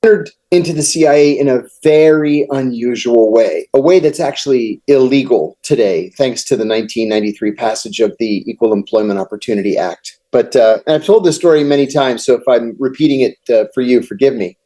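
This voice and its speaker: A middle-aged American man, a guest on an interview podcast, telling long anecdotes in a measured way. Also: mid-range voice, mellow. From United States. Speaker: guest, former intelligence officer. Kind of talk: storytelling interview answers.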